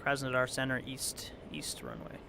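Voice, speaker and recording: A hoarse, raspy middle-aged man calmly talking himself through a flight simulator game, thinking aloud as he flies. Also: mid-range voice, normal speed, background noise. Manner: relaxed, thinking aloud while flying. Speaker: flight sim streamer. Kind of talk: casual gameplay commentary.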